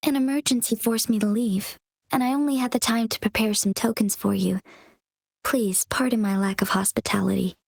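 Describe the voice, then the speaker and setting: A gracious game leader who explains matters in a calm, formal way. Her fairly high voice carries a slight fry, recorded dry and close. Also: brisk, dry recording. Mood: earnest. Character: composed young female leader, formal and gracious. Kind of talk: video game cutscene acting.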